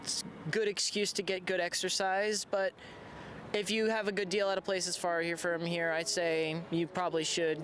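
A man's voice: sits high, level tone, moderate pace, a little hiss or hum. A twenty-year-old male film student giving plain, matter-of-fact answers outdoors into a handheld mic. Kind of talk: casual answers. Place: outdoors on campus.

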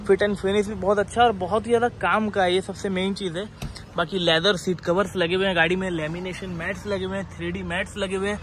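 A man presents a used car as its dealer. His sales patter is persuasive but flat in melody, sprinkled with English terms. Outdoor and vehicle noise sits faintly behind him. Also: sits high. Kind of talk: unscripted sales walk-around. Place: outdoors by a roadside lot and inside a car.

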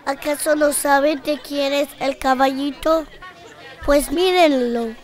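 A woman voicing a clever, confident little peasant girl in a children's cartoon, calm, matter-of-fact and cheeky. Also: high-pitched, takes it slowly, over a noisy crowd. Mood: cheeky, challenging.

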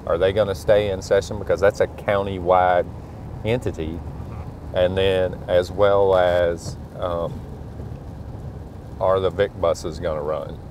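A man's voice: low-pitched, fairly even intonation, slow pace, darkish tone. A middle-aged man, a school superintendent, chatting casually while driving his truck at night, thinking aloud with many fillers. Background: faint car engine and road noise.

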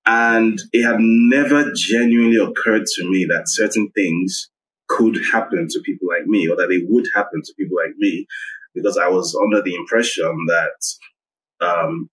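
A man, a guest recounting a personal story in a measured, reflective way. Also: low voice, a bit bright, poor audio. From Nigeria. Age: 30s. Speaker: guest, investigative journalist. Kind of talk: personal account in interview.

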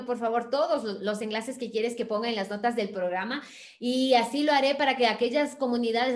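Effusive woman podcast host, echoey room. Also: a little high. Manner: warm effusive praise, affectionate sign-offs. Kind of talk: podcast interview by video call. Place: bright room at home.

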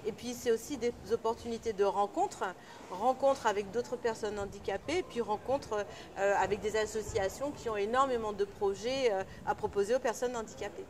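A woman's voice: mid-range voice, normal speed, a little hiss or hum. A middle-aged woman official gives an interview at an exhibition, speaking formally with frequent fillers. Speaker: local council official. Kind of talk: on-camera interview. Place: indoor exhibition hall.

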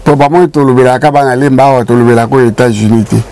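A man's voice: mid-range voice, steady tone, racing, dark tone, clear, resonant tone. An older man from Congo Kinshasa, seated in a small indoor studio, telling community history in emphatic casual talk. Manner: emphatic, mixes French and Lingala. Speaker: show guest and narrator of community history. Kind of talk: casual studio chat, storytelling.

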